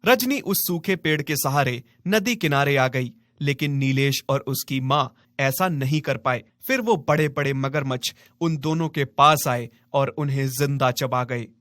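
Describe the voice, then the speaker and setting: A man's voice: mid-range voice, unhurried, hardly any pauses, a bit bright, no echo, clean studio sound. A man narrating a scripted moral story as a storyteller.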